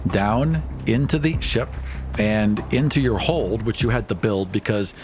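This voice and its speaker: A man's voice: on the low side, unhurried, over music. A man narrating a tabletop role-playing game as game master.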